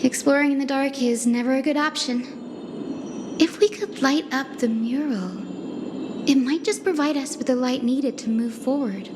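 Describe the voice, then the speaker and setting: A woman playing a gentle, composed young female guide in a fantasy game, with measured, explanatory speech. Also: high-pitched, background noise. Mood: calm, thoughtful. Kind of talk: scripted game dialogue.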